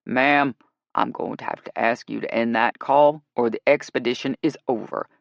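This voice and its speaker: A woman voicing an earnest, stern male wilderness guide in an audiobook, raspy, firm and formal. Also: very deep voice, fairly even intonation, normal speed, no room sound, voice pushed down. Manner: firm, formal, self-serious. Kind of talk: audiobook character acting.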